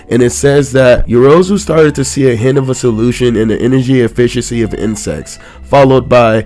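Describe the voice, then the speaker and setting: A young man with a touch of a cold talks through a manga chapter in a relaxed, conversational way. He breaks in with quick exclamations between stretches of recap. His voice sits in a middle register, and faint music plays low behind him. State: slightly congested from a cold. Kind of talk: casual recap and commentary.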